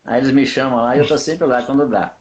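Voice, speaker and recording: Man, middle-aged, pastor guest, relaxed, easygoing, video call, faint hiss. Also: mid-range voice, fast talker, dull audio. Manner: relaxed, easygoing, with short agreeing replies. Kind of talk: casual live-stream chat. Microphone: video call without headphones.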